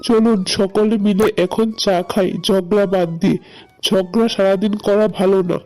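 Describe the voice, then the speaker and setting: A woman's voice: medium pitch, fairly even intonation, normal speed, warm tone, close to an everyday voice. A woman voicing a patient adult son in a cartoon, pleading and reasoning to keep the peace. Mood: placating. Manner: reasoning, placating. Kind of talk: cartoon voice acting.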